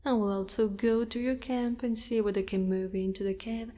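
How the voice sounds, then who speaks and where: A woman playing a possessive, doting female spider monster in an ASMR roleplay, speaking softly and breathily, often in a whisper. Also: fairly high pitch, fairly even intonation, natural voice. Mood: tender, soothing. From Italy. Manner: soft, breathy, often whispered, slow and coaxing. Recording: long cavernous reverb.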